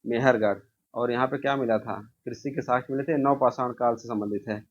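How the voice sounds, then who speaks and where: A man's voice: medium pitch, fairly even intonation, a little fast. A man teaching an informal exam review, asking questions and answering them himself, repeating key answers. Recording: dull, lo-fi sound.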